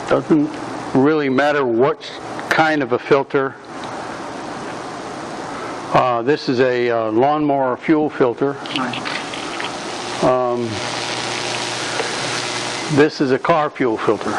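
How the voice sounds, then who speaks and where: A man giving a live workshop demonstration in a club meeting room, projecting his voice loudly and rambling along with frequent ums. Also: medium pitch. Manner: loud, projecting without a speaker system. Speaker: woodturning demonstrator. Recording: noisy room recording.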